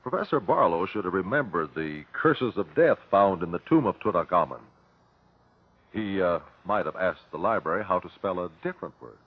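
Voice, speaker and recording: An actor playing a shrewd, relentless detective at an inquest, measured and authoritative, in vintage radio drama style. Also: male voice, low-pitched, animated, husky. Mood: reflective, wry. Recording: dull vintage radio broadcast recording.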